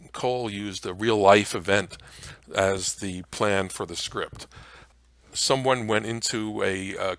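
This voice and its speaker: A man with an American accent presents a history talk in a low-pitched voice. He explains things informally and anecdotally, with halting phrasing. The sound is dry, with very little room in it. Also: gravelly, a bit bright, dry recording. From Mount Vernon area, New York, United States. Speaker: presenter, radio history lecturer. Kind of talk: informal slide lecture on old-time radio history.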